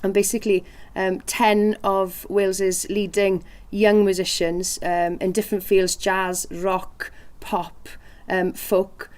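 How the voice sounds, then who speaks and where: A woman giving a thoughtful documentary interview, pausing often with ums. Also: medium pitch, a little mellow.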